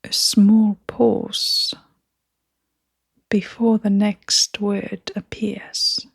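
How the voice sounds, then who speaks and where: Female meditation guide. Hushed, airy delivery at a very unhurried pace, gentle and calming, with generous pauses. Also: medium pitch, dry recording, studio quality. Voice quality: soft, breathy, often near-whispering. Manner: gentle, soothing, with long pauses.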